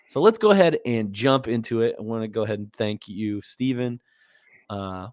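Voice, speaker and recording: A slightly hoarse man hosting a casual movie podcast, rambling easily with lots of fillers and restarts. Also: on the low side, animated, moderate pace, darkish tone, no room sound, studio quality. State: a little hoarse. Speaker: podcast host.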